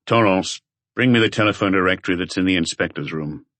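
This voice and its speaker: A man voicing a patient, serious middle-aged police detective in an audiobook, speaking in measured, terse phrases. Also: deep voice, fairly bright tone, no echo. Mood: businesslike.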